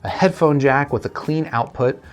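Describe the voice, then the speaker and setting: A man's voice: a little high, normal speed, faint music behind. A man chatting casually through a tech review and unboxing, conversational and thinking aloud as he goes.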